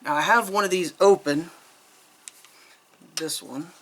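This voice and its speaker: A man's voice: fairly high pitch, unhurried, a bit bright. A man giving a casual, unscripted product review in a chatty, rambling, candid way.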